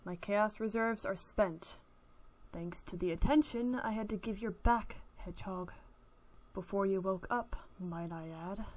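A woman's voice: medium pitch, natural voice. A woman voicing a brooding, stern male cartoon hedgehog, intense and pained, in an amateur comic dub. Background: background music.

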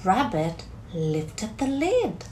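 A woman in her thirties reading a picture book aloud to children, expressive and deliberate, drawing out key words in a small room. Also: medium pitch. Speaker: storyteller, teacher reading to children. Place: small curtained room indoors.

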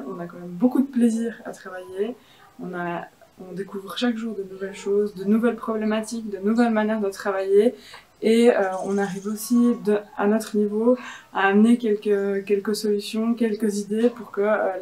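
Woman, twenties, mellow voice, calm, reflective, outdoor testimonial. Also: medium pitch, normal speed, rough recording. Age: 20s. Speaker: volunteer. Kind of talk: casual testimonial. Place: outdoors in a garden.

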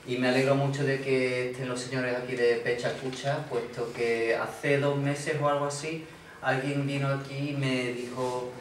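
A man's voice: mid-range voice, little pitch movement, moderate pace, a little hiss or hum. A man who teaches English introducing himself at a meetup, rambling and correcting himself as he goes. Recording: very echoey room, distant mic.

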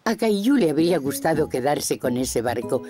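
A woman's voice: sits low, sing-song, moderate pace, music in the background. A woman with a Castilian Spanish accent narrating a scripted children's cartoon story.

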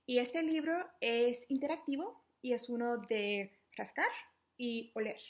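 A young adult woman reading a children's book aloud interactively, in an animated, playful, questioning manner. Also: on the high side, unhurried. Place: small living room at home, indoors.

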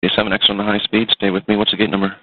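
A man's voice: on the low side, monotone, very fast. A man working as an air traffic controller, speaking over a radio link. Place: airport control tower. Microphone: radio transmitter. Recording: muffled, narrow-band air traffic radio.

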